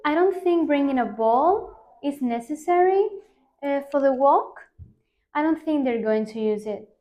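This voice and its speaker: Young woman student with a high voice. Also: animated, a little slow, warm tone. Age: 20s. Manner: careful, hesitant answers. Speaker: student, exam candidate. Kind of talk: practice exam answers. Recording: echoey room.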